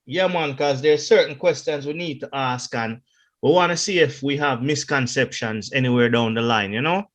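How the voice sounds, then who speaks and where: A man hosting a podcast in a loose, upbeat, casual way. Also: mid-range voice. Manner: upbeat, loose, drawn-out hype phrasing. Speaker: podcast host.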